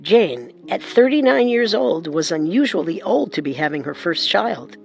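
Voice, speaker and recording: A woman co-hosting a historical radio drama, giving measured, scripted documentary narration. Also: on the low side, expressive, creaky, faint music behind. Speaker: narrator and co-host.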